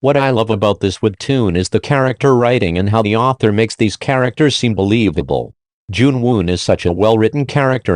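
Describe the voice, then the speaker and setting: Male review host, lowish pitch, dry recording. Reads a scripted ranked list in casual, slangy language. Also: a little mellow, no echo. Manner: informal, slangy. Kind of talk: scripted countdown review narration.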